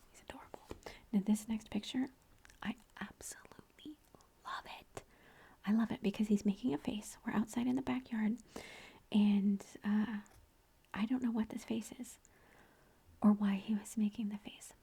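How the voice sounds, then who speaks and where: Breathy whispering mom, soft and mellow. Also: female voice, medium pitch, steady tone, normal speed. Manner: soft unvoiced whisper throughout, gushing and chatty. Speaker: mother showing family photos. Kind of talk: whispered ASMR photo show-and-tell.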